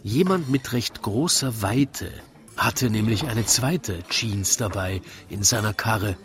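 A man reading a comic rhymed narration in a wry, theatrical, measured way. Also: on the low side. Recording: lively crowd chatter behind. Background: crowd murmur.

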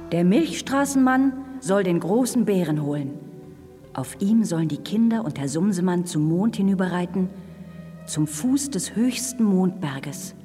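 A woman playing a gracious, solemn night fairy with a stately, measured delivery in a children's radio play, in a big reverberant space. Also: lowish voice, warm tone. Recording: echoey, long reverberant hall.

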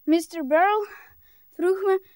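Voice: very high-pitched, takes it slowly, a little mellow, dry recording, clean studio sound. A performer playing a polite, timid young boy on an errand in a radio drama, speaking deferentially. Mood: polite, eager. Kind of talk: broadcast radio drama acting.

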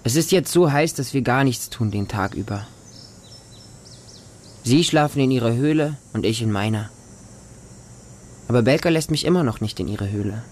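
A man's voice: mid-range voice, lively intonation, moderate pace, long pauses, a little mellow, slight background noise, lightly acted. Man playing a watchful homeless street boy, radio drama. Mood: plain, matter-of-fact. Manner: simple, childlike short sentences. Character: homeless street boy living with a pack of dogs, watchful, trusting, defiant. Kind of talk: first-person radio drama monologue.

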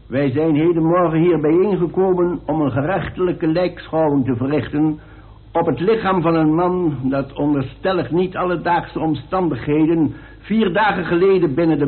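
Tinny, pompous actor as high-voiced male coroner. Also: natural voice. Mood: solemn, formal. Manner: pompous, deliberate, orotund. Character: formal, solemn male coroner of the royal household. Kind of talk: radio drama acting, ceremonial speech. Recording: tinny band-limited old radio recording.

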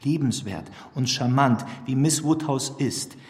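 Effusive young period gentleman, mid-pitched, standard German, ornate self-justifying delivery, long hall reverb, radio drama. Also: unhurried. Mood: earnest self-justification. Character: young, charming, effusive gentleman reading out his own letter. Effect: reverb marking a letter voice.

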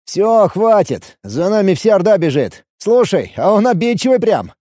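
A man's voice: high-pitched, very animated, moderate pace, dark tone, no room sound, studio quality, pitched above the performer's own voice. A man playing a bold, brash fighter and leader with a commanding, urgent delivery in an audiobook. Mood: wry, urgent.

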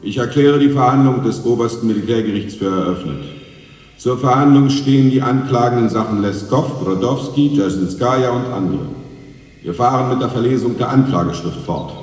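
An actor playing a stern military judge in a radio drama, with official, measured declamation. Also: male voice, mid-range voice, darkish tone, close to an everyday voice. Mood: stern, formal. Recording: distant, very echoey large hall.

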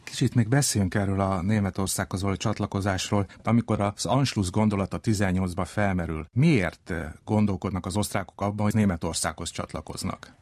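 Very deep-voiced male radio host. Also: steady tone, few pauses, darkish tone, no room sound. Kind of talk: scripted introduction and interview questions.

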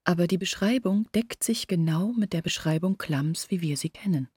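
An actress playing an earnest village woman in a radio play, explaining things in a measured, husky voice. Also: on the low side, a little mellow, dry recording, clean studio sound. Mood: earnest, reflective. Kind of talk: literary radio play monologue.

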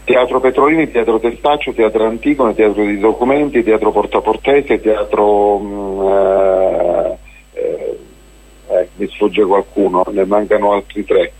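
A man speaks in a somewhat low, husky voice, heard on a radio broadcast. He rambles along as a guest, full of hesitations, fillers and restarts, and keeps circling back to his points. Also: steady tone, unhurried, a little mellow, low-quality recording. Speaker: festival organizer, interview guest.

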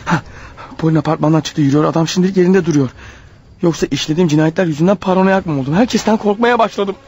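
An actor playing a paranoid, desperate killer on the run in a radio drama, thinking aloud in an agitated, urgent manner. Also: male voice, on the high side, racing, breathy edge. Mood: anxious, watchful. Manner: agitated, urgent, talking his thoughts out loud. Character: desperate, paranoid fugitive killer, a married man. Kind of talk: radio drama acting with spoken-aloud thoughts.

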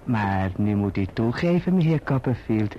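An actor playing a falsely humble, scheming young clerk in a radio drama, wheedling and obsequious. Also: male voice, on the low side, expressive, normal speed, lightly acted. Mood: servile, insinuating. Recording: dull, noisy old radio recording.

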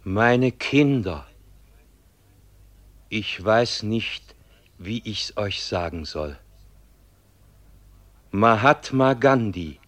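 An actor playing a grieving statesman in a radio drama, halting and grave as he addresses his people. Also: on the low side, expressive, a bit bright, full character voice. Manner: halting, grave, fading away. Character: grieving statesman gently breaking terrible news to his people. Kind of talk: solemn classic radio drama acting.

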